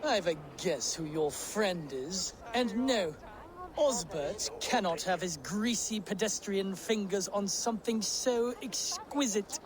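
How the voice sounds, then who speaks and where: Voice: medium pitch, lively intonation, takes it slowly, crowd noise, rough recording. An actor playing a pompous, sneering, greedy trader in a video game cutscene, savouring the words. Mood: haughty, scornful. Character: haughty, gloating, greedy trader, sneering and theatrical.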